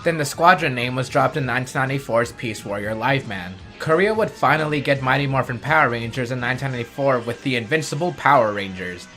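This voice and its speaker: A man's voice: medium pitch, lively intonation, fast talker, few pauses, bright, forceful tone. A man reading scripted narration for an explainer video as its host. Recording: music bed under the voice. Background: background music.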